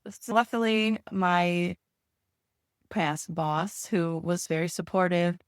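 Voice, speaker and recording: A woman's voice: fairly low pitch, fairly even intonation, takes it slowly, clean tone, dry recording, studio quality. A middle-aged woman co-hosting a podcast, telling her story in an earnest, reflective way. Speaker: podcast co-host. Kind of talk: casual chat.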